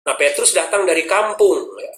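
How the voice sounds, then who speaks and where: Preacher, man; high, bright voice; emphatic storyteller in an echoey church. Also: animated, normal speed. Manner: storytelling, emphatic, rhetorical questions. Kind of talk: sermon. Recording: roomy, echoey hall.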